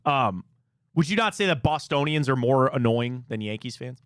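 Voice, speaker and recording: A man with a Midwest Cleveland accent, hosting a casual sports talk show in a studio, animated and opinionated, rambling with restarts. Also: medium pitch, normal speed, clean tone, no echo.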